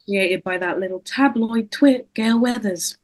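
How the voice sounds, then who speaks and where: A woman's voice: mid-range voice, measured pace, a little mellow, dry recording, clean studio sound, natural voice. A woman playing a wounded, guarded teenage girl in a script table read. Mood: hurt, defensive.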